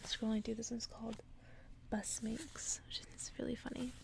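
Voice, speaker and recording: Creaky, whispering ASMR woman. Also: fairly high pitch, level tone, normal speed. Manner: whispering, soft-spoken. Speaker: ASMR host reading stories aloud. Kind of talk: ASMR bedtime story reading. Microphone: close ASMR microphone.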